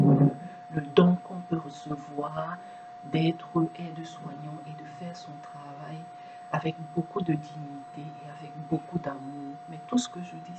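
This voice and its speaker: Low-voiced earnest woman, non-native French. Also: background noise. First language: not French. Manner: earnest, many restarts and repeated words. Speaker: video presenter. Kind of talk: reflective monologue to camera.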